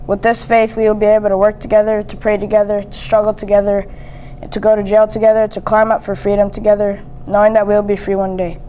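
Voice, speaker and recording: Even-toned young teen reader, light noise. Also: medium pitch, fast talker. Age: young teen, seventh grader. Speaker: student reader. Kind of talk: reading a famous speech aloud. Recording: noisy amateur recording.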